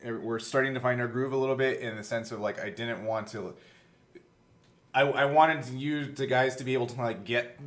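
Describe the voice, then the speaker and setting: A man with a mid-pitched voice shares behind-the-scenes recollections in casual conversation. He speaks as the game master, feeling out his thoughts as he goes. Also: normal speed. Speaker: game master and show creator.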